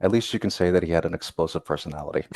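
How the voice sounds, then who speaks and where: A man's voice: low voice, rapid-fire, a little mellow, no echo. A man who works as a voice actor, casually sharing recollections.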